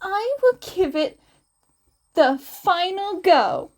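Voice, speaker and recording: A woman voicing a playful, smirking woman teacher in an anime story, teasing and coaxing with drawn-out words. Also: very high voice, very animated, a little mellow, pitched above the performer's own voice, full character voice. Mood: flirty, cheerful.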